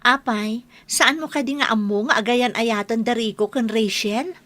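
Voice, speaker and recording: A woman's voice: a little high, moderate pace, fairly bright tone, natural voice. A woman playing an earnest, gossiping young woman in an Ilocano radio drama. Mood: insistent.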